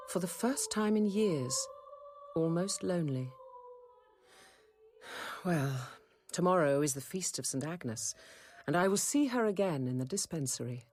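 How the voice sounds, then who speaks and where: An actress playing a composed, dryly witty convent apothecary nun in a radio drama, measured and articulate. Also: female voice, lowish voice. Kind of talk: radio drama acting and narration.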